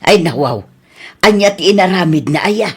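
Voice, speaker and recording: A woman playing a teasing, nosy mother in an Ilocano Filipino radio comedy, with a husky voice. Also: lowish voice, expressive, moderate pace. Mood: surprised, disapproving. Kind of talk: comedy radio drama acting.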